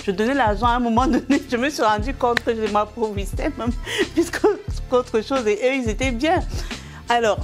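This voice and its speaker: A woman's voice: on the high side, normal speed. Laughing Cameroonian comedian woman, music behind. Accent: Cameroonian French. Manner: animated, laughing often, many restarts and fillers. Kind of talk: interview, telling anecdotes.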